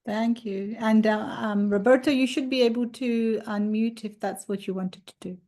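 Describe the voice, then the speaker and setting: A woman co-hosting and moderating a webinar over a video call, giving brisk, helpful instructions. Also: a little high, steady tone, dark tone.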